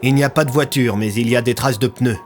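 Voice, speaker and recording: A man voicing a caring, quick-thinking magician with a gentle, reassuring manner in an audio drama. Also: mid-range voice, steady tone, faint music behind, natural voice. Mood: speculative.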